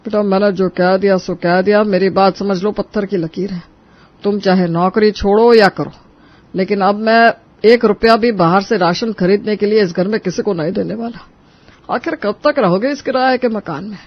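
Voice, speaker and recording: Guy doing stubborn old sasur in a moral cartoon, high voice, muffled audio. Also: normal speed. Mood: firm. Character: stubborn older father-in-law, a pensioner, folksy and emphatic. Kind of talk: Hindi moral cartoon story acting. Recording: dull, band-limited track.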